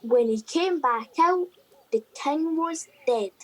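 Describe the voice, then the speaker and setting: A woman plays a blustering, brawling soldier in a radio comedy. The voice is high and the pace slow, with a boastful, bickering manner. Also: expressive, a crowd in the background, poor audio. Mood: matter-of-fact. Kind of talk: comedy radio play dialogue.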